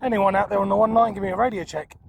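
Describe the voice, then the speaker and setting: A high-voiced man presents a casual radio vlog out in the open. He talks through what he is doing in plain, practical terms, with strong wind noise around his voice. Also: little pitch movement, racing, gravelly. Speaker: amateur radio vlogger and presenter. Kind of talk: casual hands-on vlog commentary with radio calls. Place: outdoors on a windy high spot. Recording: windy outdoor recording, loud wind noise.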